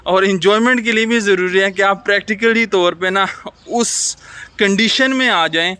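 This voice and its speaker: A man's voice: high voice, normal speed, bright, forceful tone, clear, resonant tone, no room sound. A man vlogging in a casual, reflective, advice-giving way, mixing English words into his speech. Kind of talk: casual chat to camera. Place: outdoors in rainy weather.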